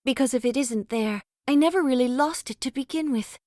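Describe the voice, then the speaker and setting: A woman's voice: high voice, a little fast, a little mellow, no room sound, clean studio sound, close to an everyday voice. A woman voicing a sweet, earnest young farm girl in a storybook, speaking tenderly and plaintively. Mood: wistful.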